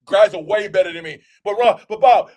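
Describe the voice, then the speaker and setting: A man's voice: high voice, a little fast, darkish tone. A man hosting a podcast, teasing his guest in brash, profane banter. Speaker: host.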